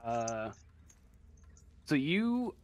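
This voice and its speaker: A man's voice: medium pitch, very animated, very slow, a little mellow. A man improvising narration as game master of a tabletop game, hesitant and often searching for words.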